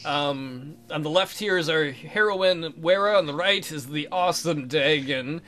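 A man's voice: on the high side, unhurried, sharp tone. A man hosting a video game let's play with casual, joking commentary.

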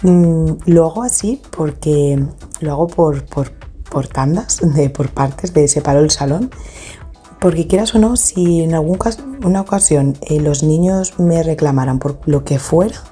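Woman, 30s, low mellow voice, chatty cleaning-vlog voice-over, loose with restarts. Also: a little slow, faint music behind. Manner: chatty, detailed, with frequent restarts and fillers. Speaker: home cleaning vlogger. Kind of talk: casual voice-over narration of a clean-with-me video.

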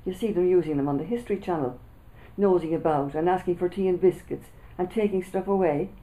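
Author reading: older woman, mellow low voice, lively storyteller, small room. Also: fairly quick, rough recording. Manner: lively first-person storytelling. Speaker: author reading her book. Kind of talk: children's book reading. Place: small indoor room with printed backdrop.

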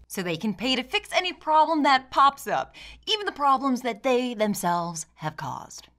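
A woman's voice: fairly high pitch, bright, forceful tone. A woman in her thirties hosting satirical video commentary in an arch, theatrical, mock-sincere way. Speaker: video essay host. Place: indoor studio set with plain backdrop.

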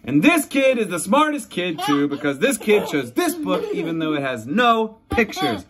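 A man's voice: high-pitched, huge pitch swings, moderate pace, crowd noise. A man in his thirties reading a picture book aloud to young children, playful and theatrical, with mock reluctance and exaggerated silly voices. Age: 30s. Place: child's bedroom at home. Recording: children laughing and chattering behind.

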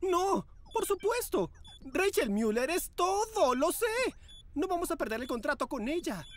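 An actor playing a flamboyant, high-strung young male model agent in a cartoon dub, exclaiming melodramatically. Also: sing-song, unhurried, heavily acted. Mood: panicked. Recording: loud music under the voice.

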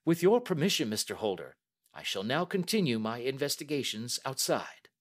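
A man's voice: medium pitch, big pitch swings, normal speed, pitched above the performer's own voice. A man reading aloud and voicing a middle-aged male detective in a precise, formal, assured manner.

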